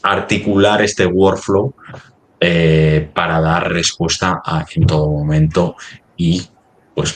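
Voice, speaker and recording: A man presenting a live software demonstration in a webinar, haltingly thinking aloud as he works on screen. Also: low voice.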